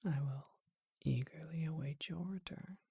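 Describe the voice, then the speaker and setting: Breathy whispered vampire bookseller, acted by a performer, courteous, softly flirtatious, unhurried, close and dry ASMR roleplay. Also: mid-range voice, no echo. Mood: pleased, gracious. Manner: slow, hesitant, genteel formal phrasing. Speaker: bookshop owner.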